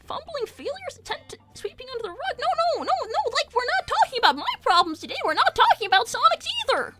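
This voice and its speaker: A woman's voice: very high-pitched, big pitch swings, fast talker, loud music behind the voice. A woman voicing a brash, gossipy young cartoon animal girl with a British accent, cheeky and exclamatory in improvised chat. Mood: flustered. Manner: cheeky, exclamatory, swearing mildly. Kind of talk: improvised in-character livestream chat.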